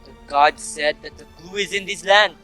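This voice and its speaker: A man's voice: high voice, normal speed, pushed and bright, faint music behind. A man playing a grave, reverent townsman and storyteller in a formal, dramatic reading of a Greek tragedy. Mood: earnest. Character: grave Theban townsman and messenger, pleading and reverent. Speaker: narrator and chorus actor. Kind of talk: staged readers' theatre of Greek tragedy.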